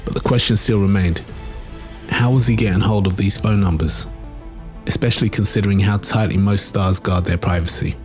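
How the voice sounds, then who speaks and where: A man reading scripted documentary narration in a measured, dramatic storytelling manner. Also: on the low side, fairly even intonation, over music.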